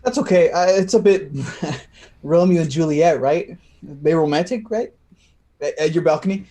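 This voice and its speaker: A man's voice: a little high, dark tone, a little hiss or hum, natural voice. A man acting in a play reading as an anxious, tender, slightly tipsy man who stammers and hesitates. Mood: nervous. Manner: halting, stammering.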